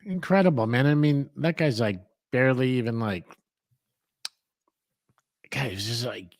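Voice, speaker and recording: A man's voice: mid-range voice, unhurried, dry recording, clean studio sound. A middle-aged man from Hollywood co-hosting a casual chat, rambling with lots of fillers. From Hollywood, California. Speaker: co-host.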